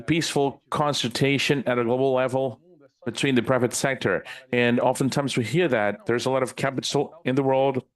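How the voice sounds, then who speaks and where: A man simultaneously interpreting a panel speaker into English, in a steady, measured delivery with small restarts. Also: mid-range voice.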